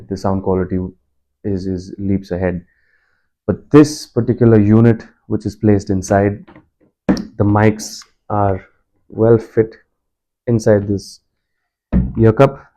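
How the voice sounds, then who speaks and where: Male reviewer, low-pitched with a very soft, relaxed tone, conversational and explanatory. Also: low-quality recording. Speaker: product reviewer and motorbike commuter. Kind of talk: casual spoken product review.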